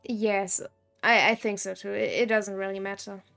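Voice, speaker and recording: A woman's voice: mid-range voice, steady tone, moderate pace, creaky, a bit bright, faint music behind. A woman game streamer giving casual live commentary, musing and thinking aloud.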